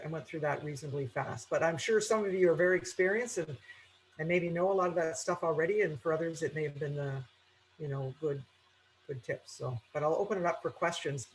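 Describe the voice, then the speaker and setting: A woman teaches an informal online aviation class. She explains things step by step in a halting, conversational way. A faint noise sits behind her voice. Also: deep voice, low-quality recording. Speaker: aviation instructor. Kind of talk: informal webinar lecture.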